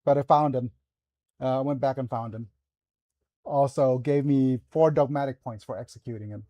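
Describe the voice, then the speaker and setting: A man's voice: mid-range voice, steady tone, moderate pace, warm tone, no echo. A man in his forties chatting casually while streaming a game, rambling with fillers and self-corrections. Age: 40s. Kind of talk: casual live gameplay chat.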